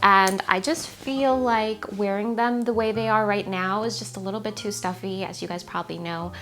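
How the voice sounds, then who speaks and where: A woman's voice: medium pitch, steady tone, moderate pace, fairly bright tone. A young woman in her twenties chatting upbeat to camera in her small home sewing studio, her voice a little worn. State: slightly losing her voice. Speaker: sewing vlogger. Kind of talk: casual vlog talk to camera.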